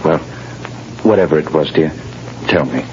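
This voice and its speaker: A man's voice: rumbling low, normal speed, background noise. An actor playing a tender, earnest father and husband in 1930s radio drama style. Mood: concerned, tender. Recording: muffled old radio broadcast, music behind.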